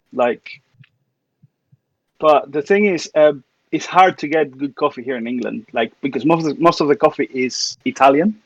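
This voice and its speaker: A man's voice: mid-range voice, no room sound, poor audio. A man from Cuba hosting a casual livestream chat, talking loosely and laughing often. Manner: chatty, laughs often, trails off. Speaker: host. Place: home studio, livestream.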